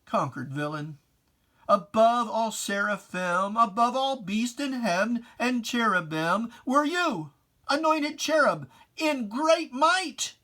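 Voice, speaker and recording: A reader giving a solemn, theatrical recitation of religious verse, with drawn-out phrasing. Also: medium pitch, expressive, sharp tone. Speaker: poetry reader.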